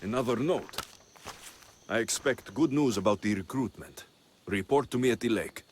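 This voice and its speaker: An actor playing a defiant, sardonic mercenary hero in a video game drama. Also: male voice, medium pitch, normal speed, a little hiss or hum, natural voice. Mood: focused.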